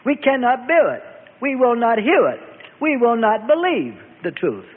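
A man voicing furious religious judges in a dramatized scripture story, shouting with frenzied, fanatical outrage. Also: extremely high pitch, huge pitch swings, normal speed, voice pushed up, exaggerated voice. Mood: outraged, defiant. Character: raging male religious judges screaming for blood. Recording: tinny radio sound, long reverb.

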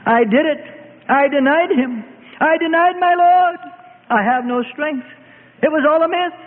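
A man's voice: very high voice, a little slow, voice pushed up. A man playing an anguished, frightened fisherman disciple, desperate and pleading, in a dramatic religious story. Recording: tinny phone-like radio sound, long reverb.

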